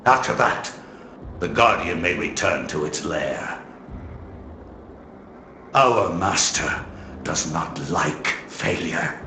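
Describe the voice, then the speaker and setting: An actor playing a stern, imperious overseer of a dark cult in a fantasy video game. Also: male voice, deep voice, lively intonation, slow, pauses often, heavily acted. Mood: stern, commanding. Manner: stern, authoritative. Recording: echoey chamber with faint noise.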